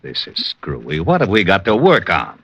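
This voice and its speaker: Low-pitched, exasperated homicide lieutenant. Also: male voice, huge pitch swings, moderate pace, a bit bright, exaggerated voice. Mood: grudging, businesslike. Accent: American. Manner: gruff, suspicious, sarcastic. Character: exasperated, grumbling male homicide police lieutenant. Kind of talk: 1940s radio acting. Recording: muffled old radio recording.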